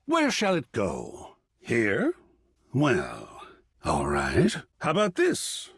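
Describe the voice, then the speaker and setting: A man voicing an excitable, cultured art curator character in a video game, theatrical and erudite, with precise diction and a husky, breathy voice. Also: medium pitch, drawn-out words, no echo, studio quality, exaggerated voice. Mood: excited, wistful. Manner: effusive, erudite, precisely enunciated, dramatic. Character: excitable, cultured art object come to life, gallery curator.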